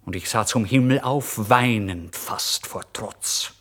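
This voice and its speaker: An actor playing a tormented, starving man, bitter and brooding, in a deliberate, theatrical radio-play monologue. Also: fairly low pitch, a bit bright. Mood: defiant outburst. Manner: brooding, deliberate, theatrical, swinging between murmur and outburst. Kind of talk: dramatic first-person literary monologue for radio play.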